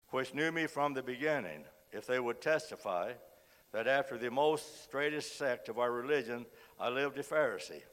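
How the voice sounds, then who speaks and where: A man with a cold, hoarse as he preaches a sermon, reads scripture and says frequent amens. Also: medium pitch. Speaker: guest preacher. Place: church sanctuary. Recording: long reverb of a church hall.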